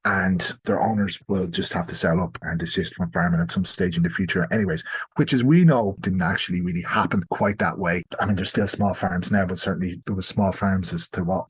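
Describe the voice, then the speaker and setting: A man's voice: mid-range voice, husky. Irish man, explanatory guest historian. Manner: explanatory, qualifies points as he goes. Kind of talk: unscripted podcast discussion.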